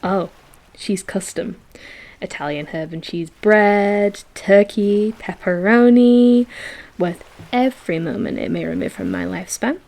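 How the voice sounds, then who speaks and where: A woman's voice: medium pitch, unhurried, creaky, darkish tone, poor audio. A woman acting a playful, affectionate girlfriend in a romantic audio roleplay, with a theatrical, teasing manner. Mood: content, doting. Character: playful, dramatic, affectionate girlfriend on a beach picnic. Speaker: voice actor.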